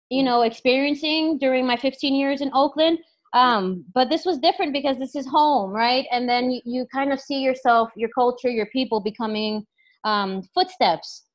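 Woman speaking through a muffled, lo-fi line. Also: on the high side. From New York, USA. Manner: thoughtful, many fillers and restarts. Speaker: clinical psychologist and life coach, guest. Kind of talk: casual interview.

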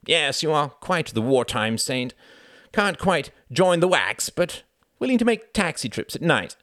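An irritable upper-class grown son in an audiobook story, played by the male narrator, sneering and melodramatic. His voice rides high above a normal male pitch, bitter and sarcastic, in a dry close recording. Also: big pitch swings, fairly quick, no room sound. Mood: sneering sarcasm.

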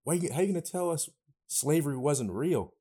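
A man's voice: fairly high pitch, expressive, brisk, warm tone, slightly rough. A young man co-hosting a podcast, chatting in a laid-back, slangy way and often agreeing. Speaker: podcast co-host. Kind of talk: casual podcast chat.

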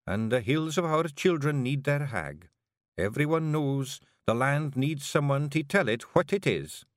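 A man voicing a small, stern female pixie clan leader with a sharp, commanding Scottish voice. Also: medium pitch, expressive, unhurried, no echo, clean studio sound, voice pushed up, natural voice. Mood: firm. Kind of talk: audiobook character voice.

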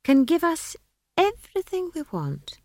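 A pompous old wizard of the storybook kind, as a woman narrator plays him, benevolent and self-satisfied. The pitch rides high and swoops through airy, patronising phrases, recorded close and dry. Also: sing-song, no echo. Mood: benevolent, smug. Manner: patronising, unhurried, airy speech.

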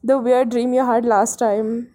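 A woman's voice: a little high, flat delivery, measured pace, noisy background. A young adult woman with an Indian accent, co-hosting a casual podcast with teasing, dry, mock-formal banter. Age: young adult, college student. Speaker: podcast co-host.

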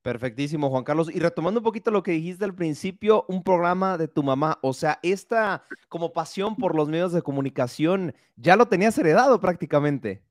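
A man's voice: fairly high pitch, expressive, brisk, few pauses, no echo, clean studio sound. A young man hosting a podcast interview, chatty and friendly, with frequent hesitations. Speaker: podcast host.

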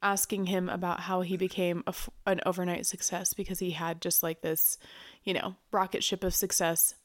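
A woman co-hosting a podcast in casual chat, sounding nasal and stuffy from a cold as she explains things in a steady, reflective way. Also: fairly low pitch, moderate pace. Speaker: podcast co-host.

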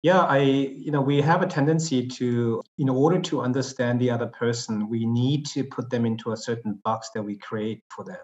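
Podcast guest guy, thoughtful and measured, lots of ums, kinda muffled lo-fi audio. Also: medium pitch, steady tone, a little mellow. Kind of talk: conversational podcast interview. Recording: dull lo-fi sound.

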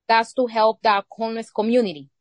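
A woman from El Salvador whose first language is Spanish, speaking English with a Salvadoran Spanish accent as an interview guest, halting and searching for words with many pauses. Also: a little high, fairly even intonation, no echo. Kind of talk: casual interview conversation.

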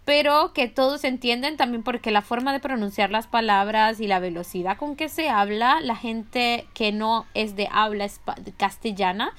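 A woman's voice: fairly high pitch, moderate pace, few pauses. A woman with a Maracaibo Venezuelan accent, chatting and joking casually as a podcast co-host. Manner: animated, joking, rambling.

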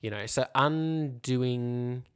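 A man's voice: mid-range voice, drawn-out words, clean tone. A man co-hosting a casual, reflective chat, explaining things in a hedging way full of filler phrases. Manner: hedging, explanatory, frequent 'you know' and 'like'. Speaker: podcast co-host.

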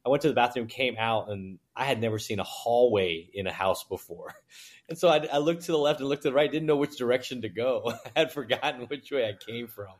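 A man in his forties, born in China and raised in Texas, whose first language was Chinese, telling his life story as a guest in a casual interview, halting and full of fillers. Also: mid-range voice. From born in China, raised in Houston, Texas. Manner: relaxed, halting, with many fillers and restarts. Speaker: guest, private equity managing partner.

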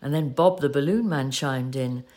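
A woman acting in an audio play as a devoted, patient partner, gently retelling a cherished love story at a measured, storytelling gait. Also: rumbling low. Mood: tender, amused.

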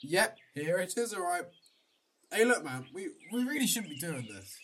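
A man's voice: high-pitched, sing-song, normal speed, fairly bright tone, breathy edge, heavily acted. A young man acting a cautious, reluctant, hesitant friend in a radio drama. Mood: reluctant, uneasy.

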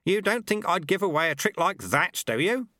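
A man's voice: high-pitched, very animated, normal speed, sharp tone, dry recording, studio quality, voice pushed up, full character voice. A man voicing a wily old male martial arts master who teases and bosses his pupil. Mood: sly teasing. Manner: sly, teasing, bossy.

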